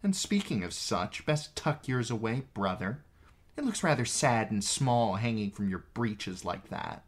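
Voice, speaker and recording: Man voicing a scornful highborn woman, swooping higher. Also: lively intonation, unhurried. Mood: mocking, scornful. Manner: taunting, swooping emphasis. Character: scornful, mocking highborn woman, proud and bitter.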